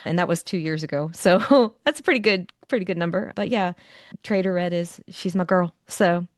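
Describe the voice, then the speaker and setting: Chatty female guest, slightly low pitch, dry sound, folksy and self-deprecating storytelling. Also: normal speed, no echo. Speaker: voice actor and executive producer. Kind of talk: casual anecdote-telling.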